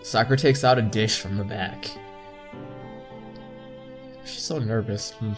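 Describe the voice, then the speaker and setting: A man's voice: on the low side, lively intonation, unhurried, over music. A young man hosting a casual visual novel playthrough, reading the text aloud with chatty asides and some stumbles. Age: young adult. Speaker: gameplay video host.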